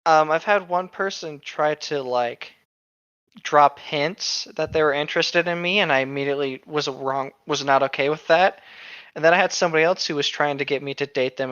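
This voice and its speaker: A man's voice: on the high side, moderate pace, fairly bright tone, dry recording, muffled recording. A man chatting casually and candidly on a podcast, telling rambling personal stories with some swearing. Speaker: co-host of a reading podcast. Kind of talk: casual chat with anecdotes.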